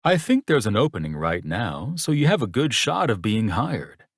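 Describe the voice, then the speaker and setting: Audiobook villain: rich older businessman, played by a male narrator, low-pitched, smug, unhurried and contemptuous, close dry sound. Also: lively intonation, dry recording. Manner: condescending, unhurried, taunting. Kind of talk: audiobook character dialogue.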